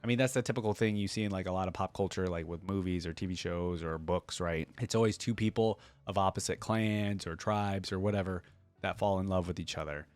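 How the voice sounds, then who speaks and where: A man's voice: deep voice, fairly quick. A man hosting a reaction video, reading stories aloud and commenting on them in a casual, slangy, conversational way. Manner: informal, conversational asides with slangy exclamations.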